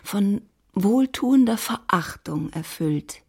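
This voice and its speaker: A woman's voice: mid-range voice, lively intonation, slow pace, vocal fry, darkish tone, slightly rough, exaggerated voice. A woman acting as the composed storyteller of a period novel, giving scripted literary narration in a German radio drama. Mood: measured.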